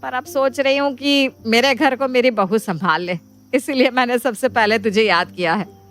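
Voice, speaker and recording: Woman acting an eager, high-voiced mother. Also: normal speed, a bit bright, soft background music, natural voice. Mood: confiding. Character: eager, trusting middle-aged mother seeking a bride. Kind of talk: dramatised Hindi moral story dialogue.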